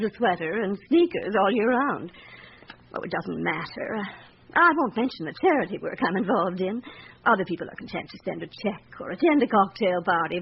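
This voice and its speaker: A woman's voice: mid-range voice, lightly acted. Woman playing posh-acting society wife, middle aged, fussy n chatty, muffled old radio drama sound. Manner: chatty, fussy, self-justifying. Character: self-important middle-aged society wife, genteel and needy. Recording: muffled, faintly noisy radio recording.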